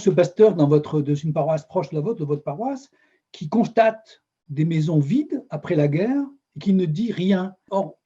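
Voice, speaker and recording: A man's voice: fairly high pitch, dark tone, breathy edge, muffled recording. A male interviewer asking earnest, searching questions, often restarting his sentences.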